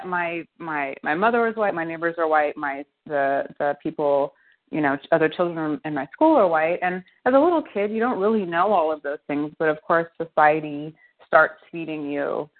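A woman in her fifties, a guest in a casual interview, talking thoughtfully with many restarts and soft laughs. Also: low-pitched. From United States. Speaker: guest, winery co-founder. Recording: tinny phone line.

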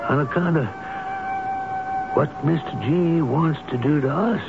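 Slow-talking, childlike old fellow, tinny radio. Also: male voice, fairly high pitch, very noisy. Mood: secretive, anxious, tender. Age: older. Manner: halting, repeating himself. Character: addled, childlike, gentle old elevator man, devoted and secretive. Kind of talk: 1970s radio drama acting. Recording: tinny narrowband old radio broadcast with music.

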